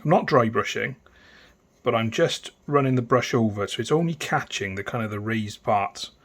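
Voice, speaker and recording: A man with a thick Welsh accent talking through a painting tutorial in a relaxed, chatty way, with many fillers and restarts. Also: mid-range voice, moderate pace. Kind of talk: casual step-by-step tutorial narration.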